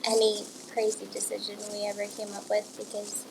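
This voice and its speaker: A woman's voice: medium pitch, monotone, fairly quick, creaky, background noise. A young woman who grew up in the United States with Romanian as her first language, chatting casually as a guest in a coffee shop with many "um"s and "like"s. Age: young adult. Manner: chatty, laughs often, frequent "um" and "like". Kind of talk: casual interview chat.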